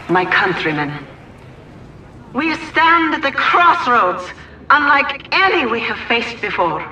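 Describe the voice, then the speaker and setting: A woman playing a stately political leader, giving a formal, declamatory speech in a measured way. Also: fairly high pitch, sharp tone, slight background noise. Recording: echoing public address, distant from mic.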